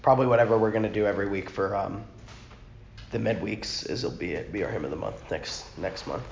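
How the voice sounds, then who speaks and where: A man whose voice is hoarse and nearly gone teaches an informal church class, speaking quietly and rambling with many restarts. The recording is muffled and roomy, with a faint hiss behind him. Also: on the low side, a little fast. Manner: rambling, self-correcting, talks softly. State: hoarse, lost his voice. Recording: muffled, echoey room with faint noise.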